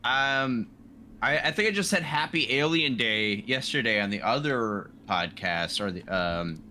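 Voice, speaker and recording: A man chatting casually as a player on a game podcast, enthusiastic but halting. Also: medium pitch, animated, sharp tone. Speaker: player in a tabletop RPG podcast. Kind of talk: casual chat.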